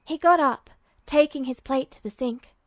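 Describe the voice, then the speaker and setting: A woman's voice: sits high, normal speed, no room sound. A woman narrating a scripted story as an audiobook-style reader. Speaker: podfic narrator.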